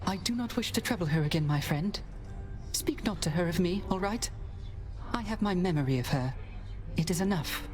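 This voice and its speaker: Woman as a low-voiced melancholy shade. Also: lively intonation, soft background music, natural voice. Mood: wistful, resigned. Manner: wistful, formal, measured. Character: melancholy, gentle, resigned shade of a bereaved spouse. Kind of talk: video game character acting.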